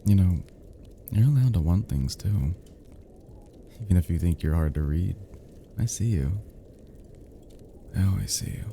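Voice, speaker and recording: A man acts out a flirty, devoted best friend in a cozy ASMR roleplay. His low voice is very dark and warm, murmured softly with gentle teasing and affection. A gravelly fry runs through it. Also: animated, a little slow, long pauses, a little hiss or hum, full character voice. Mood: tender, confessional. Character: tender, flirty male best friend lulling listener to sleep.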